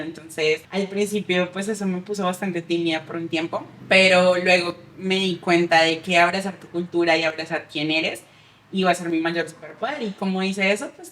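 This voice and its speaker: Chatty Colombian woman, lowish voice. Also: slight background noise, low-quality recording. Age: late 20s. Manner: chatty, with many fillers. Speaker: guest, insurance advisor. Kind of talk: podcast interview.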